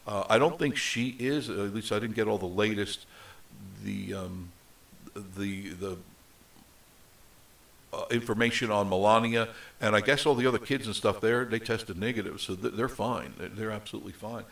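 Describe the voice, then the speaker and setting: A man's voice: lowish voice, normal speed, creaky, a bit bright, far from the mic. A middle-aged man with a nasal voice hosting a live show, chatting off the cuff with many ums and restarts. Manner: rambling, with many fillers and restarts. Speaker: host of a live travel show. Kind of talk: unscripted casual live chat. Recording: voice set back from the mic.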